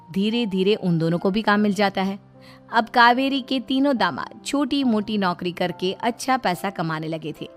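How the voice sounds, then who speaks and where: Woman narrating a cartoon story. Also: medium pitch.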